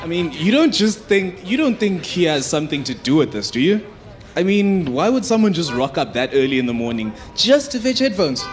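Man acting an excitable, higher-voiced young colleague. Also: a little fast. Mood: suspicious. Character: eager, suspicious young male radio station colleague. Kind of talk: live radio drama acting.

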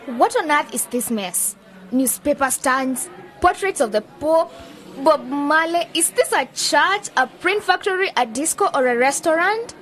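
A very high-pitched, bossy young female singer from a radio drama. She is impatient and commanding, with a sharp tongue. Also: lively intonation, a little slow, a faint crowd. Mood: exasperated.

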